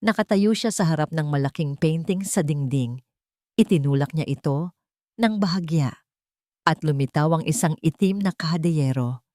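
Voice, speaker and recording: A woman storyteller giving a dramatic scripted narration of a romance, with deliberate, emotive phrasing in short breaks. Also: deep voice, dark tone, dry recording, clean studio sound.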